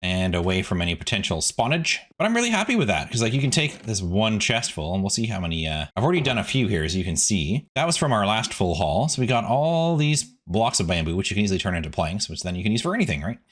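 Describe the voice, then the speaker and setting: A man's voice: lowish voice, big pitch swings, fast talker, few pauses, a bit bright. A man giving casual, chatty gameplay commentary, rambling in asides to viewers as a video host.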